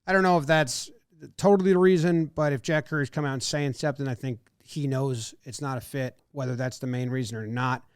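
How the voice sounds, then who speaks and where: A man's voice: mid-range voice, fairly even intonation, fairly quick, few pauses, clear, resonant tone, no room sound, studio quality. A man co-hosting a podcast, chatting casually about sports, rambling and second-guessing himself. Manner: rambling, self-doubting, acts out what he thought. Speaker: podcast co-host.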